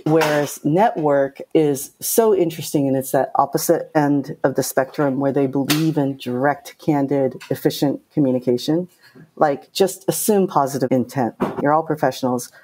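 A middle-aged woman from Japan, a game producer talking in an interview, direct and outspoken with lively storytelling. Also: low voice, moderate pace, slightly rough, low-quality recording. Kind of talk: casual interview talk.

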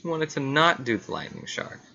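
A man's voice: on the high side, big pitch swings, moderate pace, gravelly, dull audio, background noise. A man hosting a gaming video with casual, offhand commentary on what he is playing.

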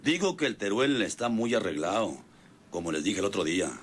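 A blustering low-voiced Mexican boss. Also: male voice, sing-song, fairly quick, slightly rough. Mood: scheming, boastful. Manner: blustering, scolding, groaning with pain. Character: bossy, greedy, boastful rural Mexican gang boss with bad leg. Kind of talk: rural radio soap opera acting. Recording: noisy old radio drama recording.

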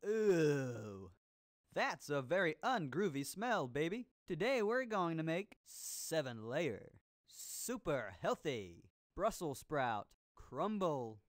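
A swooping, groovy disco chef. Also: male voice, a little high, big pitch swings, no echo, studio quality. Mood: groovy, playful. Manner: hammy, swinging, drawn-out delivery. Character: groovy disco cooking show host, upbeat adult man. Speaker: game show host. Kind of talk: cartoon game voice-over with 1970s disco slang.

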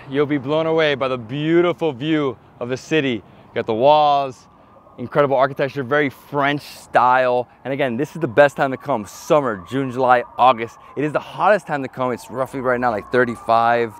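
Excited male travel vlogger outdoors. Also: mid-range voice, moderate pace, slight background noise. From United States. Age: 30s. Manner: chatty, excited, switches between languages. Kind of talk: casual travel vlog chat. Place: outdoors on a bridge and city streets. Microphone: lapel mic.

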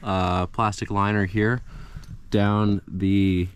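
A man's voice: on the low side, little pitch movement, slow pace. A young adult man giving a casual, hands-on tutorial, explaining step by step in an informal way as he works. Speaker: DIY car video host. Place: in and beside a parked car outdoors. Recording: clear background noise, vehicle ambience.

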